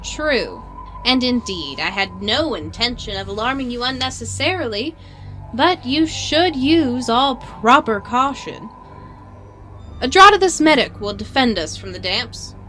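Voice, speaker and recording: A woman acting in an audio drama as a scheming aristocrat, speaking formal archaic lines with measured pauses and feigned concern. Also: on the high side, expressive, fairly bright tone, soft background music, low-quality recording. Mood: falsely solicitous. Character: scheming aristocrat feigning solicitous friendship, secretly vengeful.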